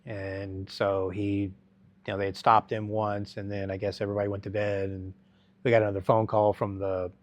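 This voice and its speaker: A middle-aged man telling his life story as an interview guest, halting and rambling with many restarts. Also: lowish voice, little pitch movement, lo-fi sound.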